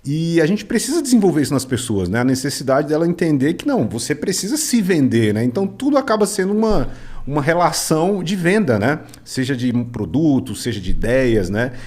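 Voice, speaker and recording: A man's voice: on the high side, big pitch swings, fairly quick, darkish tone. A Brazilian man hosting a podcast in casual chat, often tagging his points with little questions seeking agreement. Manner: constantly checks agreement with short tag questions. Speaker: podcast host.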